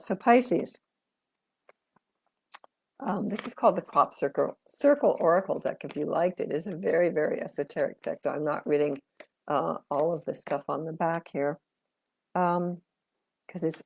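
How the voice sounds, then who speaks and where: A woman's voice: sits low, vocal fry, a little mellow, husky, no room sound. A middle-aged woman reading tarot cards on a live stream. She talks in a chatty, rambling way with many fillers and answers viewers' comments, and her voice is going. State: losing her voice. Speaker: tarot reader and astrologer.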